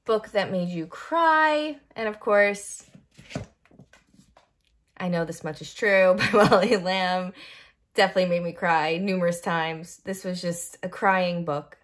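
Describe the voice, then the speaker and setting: A woman's voice: fairly low pitch, measured pace. A woman chatting casually to viewers as a book vlogger, enthusiastic and effusive, leaning on emphatic repetition.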